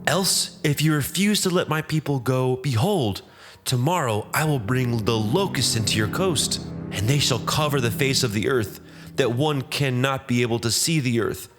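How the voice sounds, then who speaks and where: A man's voice: mid-range voice, moderate pace, fairly bright tone, soft background music, voice pushed up, lightly acted. A man voicing a bold Hebrew prophet in a dramatized scripture reading, declamatory and insistent. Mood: stern warning.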